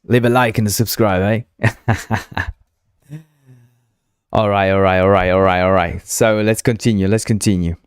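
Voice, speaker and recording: A man's voice: on the low side, fairly even intonation, a little slow, pauses often, clear, resonant tone, no room sound, clean studio sound. A man whose first language is Spanish, hosting a casual live coding stream, thinking aloud, repeating himself and playfully teasing his chat. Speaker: game developer streaming live.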